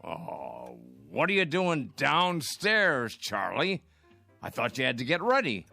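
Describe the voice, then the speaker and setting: An actor playing a bitter, grumbling old rest-home resident in a radio drama. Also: male voice, medium pitch, huge pitch swings, takes it slowly, vocal fry, pushed and bright, no echo, soft background music, heavily acted. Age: older. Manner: grumbling, muttering.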